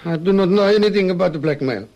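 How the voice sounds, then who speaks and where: A suspect under questioning in a classic radio crime drama. His voice is notably high for a man. He is guarded and defensive, choosing formal words with care. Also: fast talker, a little mellow, dull audio. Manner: careful, defensive, formal wording. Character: guarded, defensive male arson suspect, Italian immigrant.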